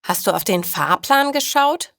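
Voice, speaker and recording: A woman voicing a caring, worried mother in a storybook, gently doubting and questioning. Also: on the high side, expressive, a little slow, creaky, dry recording, studio quality, pitched above the performer's own voice, full character voice.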